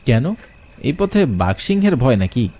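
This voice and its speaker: A young man narrating a first-person horror story, expressive and asking questions as he goes. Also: medium pitch, a little slow, a little hiss or hum.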